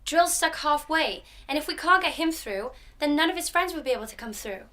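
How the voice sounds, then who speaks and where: Radio-play girl, secretive and curt, high and bright, voiced by a woman. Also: brisk. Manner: curt, evasive replies. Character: stubborn young daughter, secretive and busy.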